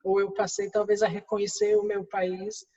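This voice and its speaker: A woman's voice: medium pitch, little pitch movement, normal speed, mellow, husky, dull audio. English-accented woman, hesitant, distant roomy sound. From England. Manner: hesitant, many restarts and fillers. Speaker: guest executive. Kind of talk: interview answers.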